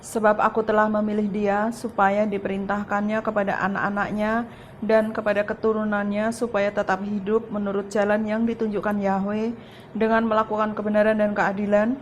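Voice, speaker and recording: A woman from the congregation who answers the preacher briefly and reads scripture aloud in a quiet, steady way. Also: mid-range voice, normal speed, a little hiss or hum. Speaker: congregation member.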